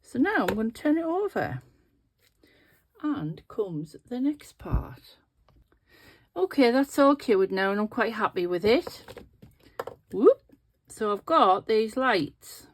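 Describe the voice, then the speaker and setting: A woman's voice: on the high side, very animated, slow. A middle-aged woman with a sniffly, nasal voice, chatting casually and thinking aloud as she works through a craft tutorial. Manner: chatty, thinking aloud while working with her hands. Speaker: resin craft video host.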